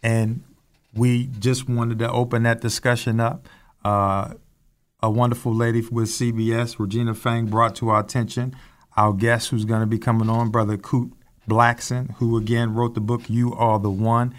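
Measured male co-host introducing a guest. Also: mid-range voice, level tone. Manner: measured, formal introductions with fillers. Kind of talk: talk show hosting.

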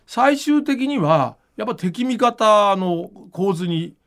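A man's voice: sits high, lively intonation, slow, a bit bright. A man hosting a podcast, chatting casually and explaining his thoughts in an informal, musing way. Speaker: podcast host and manga creator.

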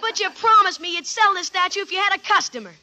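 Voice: extremely high pitch, fast talker, sharp tone, heavily acted. An actor playing an earnest, practical schoolboy who helps in a shop, in a 1940s radio comedy, with an eager, direct manner. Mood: eager, protesting. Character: earnest, practical shop boy, loyal helper. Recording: 1940s radio broadcast recording.

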